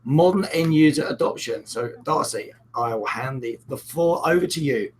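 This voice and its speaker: A man hosting an online tech meetup, talking casually with a croaky voice and a joking manner. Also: medium pitch, moderate pace, poor audio. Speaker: host.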